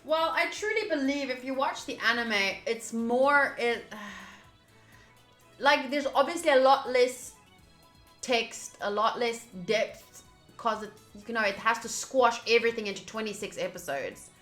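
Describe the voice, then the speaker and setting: Bright, roomy-sounding woman streamer. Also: on the high side, a little slow. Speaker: streamer playing a visual novel. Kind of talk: casual playthrough commentary, reading lines aloud. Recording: roomy sound with faint game music. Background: faint game music.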